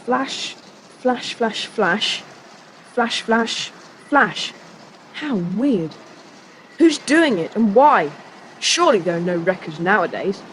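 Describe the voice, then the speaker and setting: An actor playing a sensible, confident schoolboy who leads young adventurers in a children's audiobook drama, speaking briskly. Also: medium pitch, animated, a bit bright, slight background noise, poor audio. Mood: brisk, intrigued.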